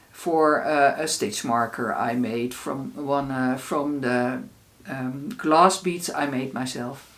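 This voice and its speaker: A woman with a Dutch accent chats through her solo podcast in English, which is not her first language. She speaks at an unhurried pace, often stopping on "uh" and circling back to restart a phrase. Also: low voice. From Netherlands. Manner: unhurried, many "uh" fillers and restarts. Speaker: podcast host. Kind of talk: casual solo podcast chat.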